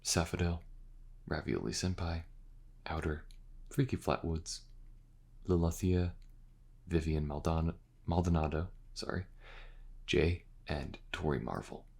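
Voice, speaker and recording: A man's voice: a bass voice, steady tone, measured pace, creaky, long pauses, a little mellow, breathy edge. A man who makes voice-acted audio, talking casually and directly to his listeners in an outro. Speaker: voice actor and creator of the audio.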